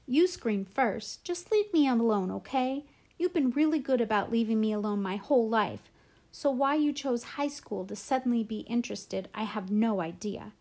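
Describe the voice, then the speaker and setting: A woman's voice: on the high side, moderate pace, darkish tone. A woman voicing a defensive, exasperated teenage girl, an older sister in a storybook. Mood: resentful.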